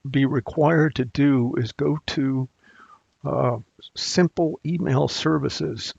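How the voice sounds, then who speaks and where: A man with a gravelly, raspy voice walks through a software tutorial. He takes his time, thinking aloud and halting between phrases as a support presenter working off the cuff. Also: a little high, dry recording, highs cut off. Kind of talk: unscripted software screencast walkthrough.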